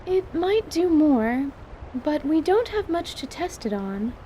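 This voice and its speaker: Woman playing a high-voiced, composed scholarly teacher. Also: big pitch swings, warm tone, slight background noise, exaggerated voice, low-quality recording. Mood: composed, gentle. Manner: measured, formal, precise wording. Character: composed, scholarly female magic teacher, patient and reserved. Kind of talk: fantasy audio drama acting.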